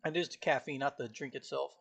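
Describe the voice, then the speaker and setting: A man casually talking to himself while studying on a live stream, often clearing his throat, which feels irritated. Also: mid-range voice, fairly even intonation, fast talker, a bit bright. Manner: frequent throat clearing, laid-back, reciting notes from memory.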